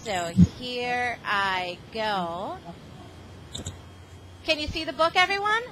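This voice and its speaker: A woman's voice: on the high side, big pitch swings, takes it slowly, pushed and bright, a little hiss or hum, poor audio. A middle-aged woman reading aloud to a class of children over a video call, exclamatory and often asking them questions. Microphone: video call microphone.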